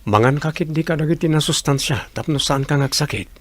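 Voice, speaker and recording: A man's voice: fairly high pitch, a little fast, fairly bright tone, no room sound. A man with an Ilocano accent playing a devoted, generous young village suitor in a radio drama. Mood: tender.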